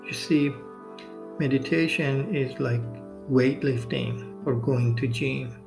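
A man hosting a guided meditation podcast, speaking non-native English in short, measured phrases with long pauses. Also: medium pitch, creaky. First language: not English. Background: music.

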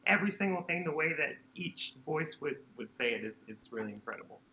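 A man from North Carolina with a naturally high speaking voice, chatting lightly as an interview guest. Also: lively intonation, a little fast, bright, forceful tone, a little hiss or hum. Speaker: guest singer-songwriter. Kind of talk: casual interview chat. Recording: roomy sound with faint guitar.

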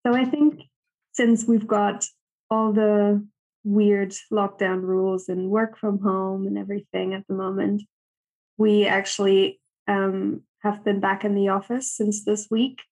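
Young woman from Germany as a guest, flat delivery, soft and hesitant. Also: medium pitch, measured pace, rough recording. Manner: soft-spoken with many ums. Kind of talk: casual interview chat.

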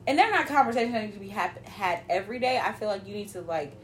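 A woman's voice: mid-range voice, expressive, fast, a little hiss or hum. A woman around thirty in casual chat, talking loosely with lots of filler words. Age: 30s.

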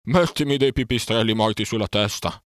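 A man acts out an anxious old male bear in a storybook. The voice is raised and loud, huffing and agitated. It laments with heavy remorse, recorded dry and close. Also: on the low side, a little fast, no echo, pitched above the performer's own voice, natural voice. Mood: agitated, despairing.

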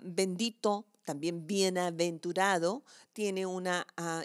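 A woman's voice: medium pitch, dry recording, clean studio sound. A woman giving a conversational devotional sermon, reflective and emphatic, drawing out key words. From southern Chile.

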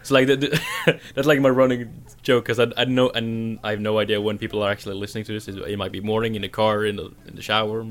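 A man from Norway with a Norwegian accent, co-hosting a casual film review podcast, halting with restarts and laughs. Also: on the low side, little pitch movement. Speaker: podcast co-host.

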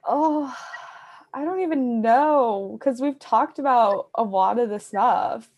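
A woman's voice: a little high, takes it slowly, darkish tone, muffled recording. A bubbly woman hosting a podcast, chatting casually and laughing often. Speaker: podcast host. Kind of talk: casual chat.

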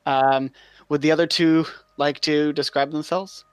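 Male game master, slow narrator, dry close sound. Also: a little high, steady tone, smooth, no echo. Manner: drawn-out narration, frequent laughter.

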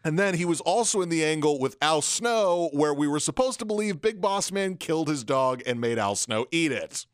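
A man's voice: a little high, expressive, normal speed, smooth, dry recording, studio quality. A man co-hosting a wrestling podcast in casual chat, rambling through trivia in a wry tone. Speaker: podcast co-host. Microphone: studio microphone.

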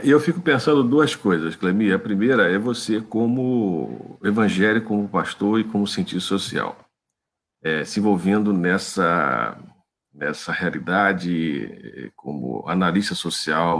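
A man interviewing a guest, working out his questions slowly with repeated false starts. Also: deep voice, animated, vocal fry. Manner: searching, hesitant phrasing with repeated false starts.

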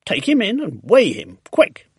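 A storybook narrator playing a mild, courteous gentleman who is polite and reassuring. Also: mid-range voice, wildly swooping pitch, unhurried, creaky, slightly rough, no room sound, studio quality, exaggerated voice. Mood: urgent.

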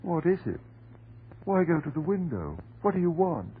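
An actor playing a frantic, devoted, unhinged husband in a vintage horror radio drama, melodramatic, pleading and halting. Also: male voice, a little high, big pitch swings. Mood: worried, pleading. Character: frantic, devoted, unhinged husband guarding his transformed beloved. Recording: muffled, noisy old radio recording.

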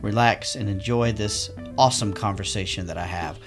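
A man's voice: fairly low pitch, moderate pace, over music. Upbeat man hosting a podcast. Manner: upbeat, promotional, enthusiastic. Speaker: podcast host. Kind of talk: scripted podcast intro and interview.

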